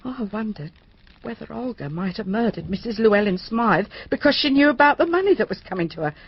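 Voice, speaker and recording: Husky, anxious cleaning woman. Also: a little high, expressive, a little fast, dull audio. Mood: uneasy. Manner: hesitant, rambling, confiding. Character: anxious, deferential working-class widowed cleaning woman. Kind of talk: radio drama acting.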